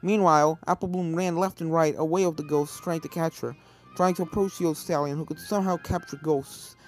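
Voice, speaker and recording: A woman's voice: deep voice, fairly even intonation, a little fast. A woman reading a story aloud as scripted narration, with music playing behind her. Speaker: fan fiction reader.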